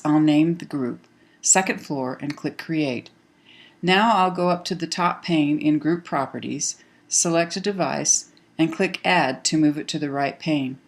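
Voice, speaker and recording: Low-pitched woman narrating a software tutorial. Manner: clear step-by-step explaining. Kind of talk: scripted instructional narration.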